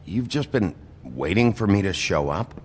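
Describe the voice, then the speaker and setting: An actor playing a gruff, weary sheriff in a video game, blunt and curt in his warnings. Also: sing-song, moderate pace, a little hiss or hum. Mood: guarded, terse. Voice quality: deep gravelly voice. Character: gruff, weary male sheriff, a wolf in human guise.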